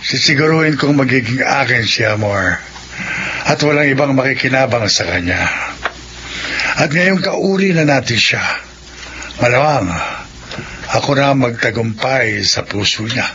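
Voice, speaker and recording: Sly possessive aswang, dull recording. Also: male voice, mid-range voice, lively intonation, measured pace, sharp tone. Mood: gloating, possessive. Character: scheming possessive male aswang, secretly preying on villagers. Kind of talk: Filipino horror radio drama acting. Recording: dull lo-fi radio with noise.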